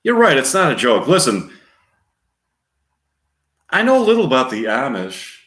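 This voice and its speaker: An earnest, apologetic detective, read by a man, speaks measured movie dialogue. The voice is bright and everyday, with a noticeable room echo. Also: medium pitch, huge pitch swings. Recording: roomy, echoing space.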